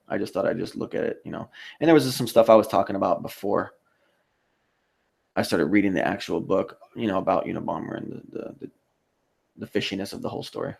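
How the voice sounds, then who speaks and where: A man hosting a casual livestream, reading out chat comments and replying in a rambling, halting way. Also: sits low, gravelly, a little mellow. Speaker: live stream host.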